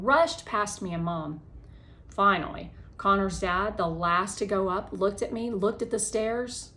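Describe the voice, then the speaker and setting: A woman reads a children's novel aloud with expressive, animated delivery. Her voice sits at an average pitch for a woman. She hosts the read-aloud and brings the dialogue to life.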